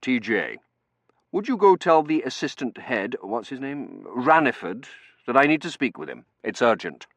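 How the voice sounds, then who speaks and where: Man acting a brusque, animated academic boss. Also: mid-range voice, no room sound, voice pushed up. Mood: exasperated. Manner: brisk, authoritative. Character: brusque, commanding male academic in charge, easily exasperated.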